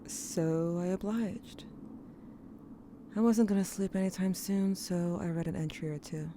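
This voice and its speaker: A woman narrating as an adult looking back on childhood, quiet and often close to a whisper. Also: fairly low pitch, steady tone, unhurried, slight background noise, close to an everyday voice. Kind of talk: first-person narration in audio drama.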